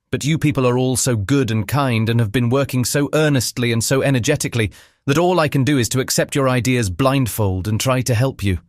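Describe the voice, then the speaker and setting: An actor playing a grieving, courteous lord in a dramatised audiobook, speaking in an earnest, formal manner. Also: male voice, medium pitch, no echo, studio quality, natural voice. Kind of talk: dramatised audiobook character acting.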